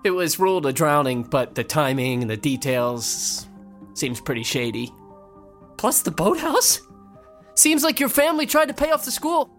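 A woman's voice: deep voice, very animated, normal speed, soft background music. A woman playing a stubborn, resentful young male college rower in an audio drama. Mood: scornful. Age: young adult.

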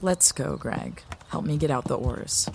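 A woman's voice: low-pitched, lively intonation, measured pace, gravelly, full character voice. An actress playing a principled young crew coxswain in an audio drama, a woman with a direct, insistent manner. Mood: firm, concerned. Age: young adult.

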